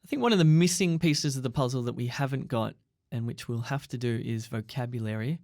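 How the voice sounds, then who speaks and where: A man's voice: medium pitch, fairly quick, creaky, darkish tone, no room sound, studio quality. A man hosting a casual podcast chat, talking about courses with enthusiasm and lots of fillers. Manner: enthusiastic, promotional, with many fillers. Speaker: podcast host.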